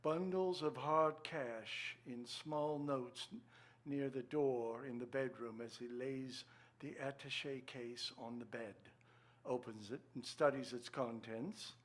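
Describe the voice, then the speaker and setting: Medium-pitched male radio drama narrator. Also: steady tone, takes it slowly. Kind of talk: scripted narration of stage directions, radio drama.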